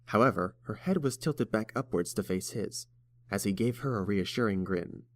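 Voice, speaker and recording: A man's voice: fairly low pitch, moderate pace. A man narrating a story from a script as a storybook narrator.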